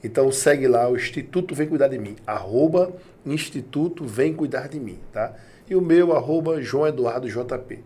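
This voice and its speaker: A man's voice: medium pitch, lively intonation, normal speed, dark tone, slightly rough. A man with a Brazilian accent speaking as a guest in a casual conversation, agreeable and repeating himself for emphasis. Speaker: interview guest.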